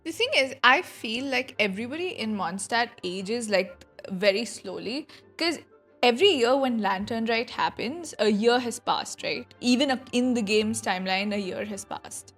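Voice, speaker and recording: High-voiced playful woman streamer. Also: expressive, normal speed, fairly bright tone. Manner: playful, slangy, exclaiming. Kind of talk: casual game reaction commentary. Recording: game music underneath.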